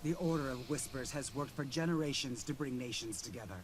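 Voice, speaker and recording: A man's voice: medium pitch, brisk, natural voice. An actor playing a formal male agent of a secret order in a video game. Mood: solemn. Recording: game audio with music behind.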